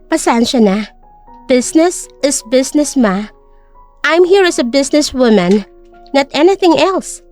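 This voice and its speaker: A woman's voice: high-pitched, animated, measured pace, long pauses, a little mellow, faint music behind. A woman voicing a cool, firm young company owner in an audio drama.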